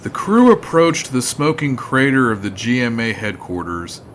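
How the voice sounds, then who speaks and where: A man's voice: mid-range voice, big pitch swings, normal speed, a bit bright, slight background noise. A man playing a solemn, theatrical narrator of a sci-fi adventure audio drama. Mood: suspenseful.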